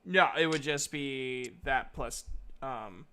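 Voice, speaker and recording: A man narrating a tabletop game as game master in a halting, informal, slangy way. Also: medium pitch, a loud crowd.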